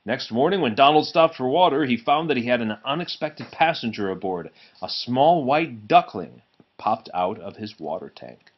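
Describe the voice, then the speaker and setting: Guy reading a kids book out loud, really animated, mid pitch, slightly muffled recording. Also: moderate pace. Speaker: storybook reader. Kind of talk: expressive children's read-aloud narration.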